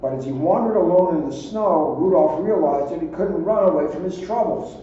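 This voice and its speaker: A man reading a children's story aloud in an expressive style. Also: a little high, brisk. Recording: echoey, distant, muffled, faint noise.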